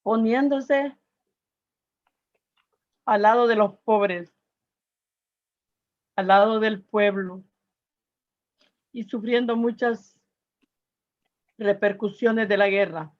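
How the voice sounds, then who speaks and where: An older woman with a Salvadoran Spanish accent gives a personal testimony to an audience, speaking earnestly and haltingly, with searching pauses and repetitions. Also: mid-range voice, muffled recording.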